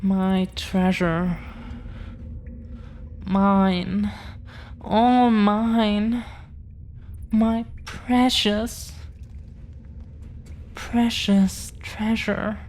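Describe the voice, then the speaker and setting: A woman plays a dragon-blooded girlfriend in an intimate audio drama, tender and possessive. Her delivery is extremely slow, earnest and hesitant, in a slightly low-key, affectionate manner. Some faint noise sits behind the voice. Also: fairly even intonation, a little mellow. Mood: protective, adoring. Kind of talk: intimate scripted audio roleplay.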